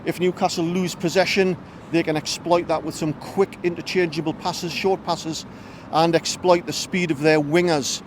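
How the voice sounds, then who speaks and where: A man's voice: a little high, level tone, normal speed, background noise. A man interviewing football fans outdoors, talking tactics in an eager, pundit-like way. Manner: eager, punditry-style questions.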